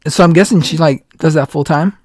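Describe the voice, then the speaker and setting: A man's voice: on the high side, vocal fry, dry recording, clean studio sound. A man in his late twenties hosting a casual podcast chat, rambling along with lots of "like" and retold quotes. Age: 20s. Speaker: podcast host.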